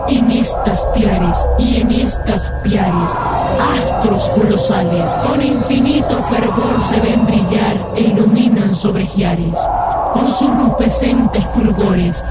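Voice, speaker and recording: Radio drama ghost, played by an actor: headless, menacing, very slow incantatory delivery, heavy music bed. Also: mid-range voice, natural voice. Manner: slow, declamatory, cryptic incantation. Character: headless ghost, eerie bloodthirsty specter reciting riddles. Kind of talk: radio drama verse recitation. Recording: loud music under the voice.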